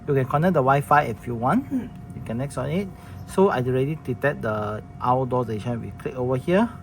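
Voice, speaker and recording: A man's voice: mid-range voice, animated, moderate pace, creaky. A man walking viewers through a product setup, talking plainly with many restarts and fillers. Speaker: product demonstrator. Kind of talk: casual product demo walkthrough. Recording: noisy room recording.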